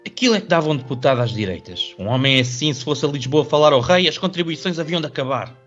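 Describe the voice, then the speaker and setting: Quick, bright-voiced rustic farmer. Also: male voice, fairly high pitch, lively intonation. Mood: admiring. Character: plain-spoken, admiring rustic farmer. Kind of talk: radio drama acting. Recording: dull lo-fi audio over music.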